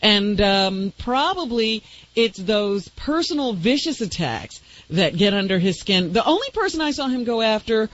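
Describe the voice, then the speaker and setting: Female radio co-host, lively swinging intonation, casual reflective talk, slightly muffled recording. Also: medium pitch, measured pace.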